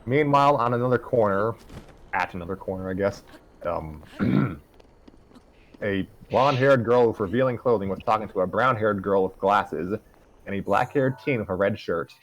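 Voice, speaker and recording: A man's voice: lowish voice, moderate pace, poor audio. A man narrating a story aloud informally, as the reader of a casual group session.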